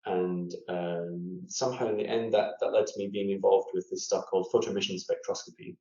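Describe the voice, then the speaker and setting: Scottish guy on a podcast call, mellow low voice, lots of uhs, roomy dull sound. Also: fairly even intonation, fairly quick. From Scotland. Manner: thoughtful, hesitant with frequent fillers and restarts. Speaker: guest scientist. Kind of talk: casual interview. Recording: echoey room, dull lo-fi audio.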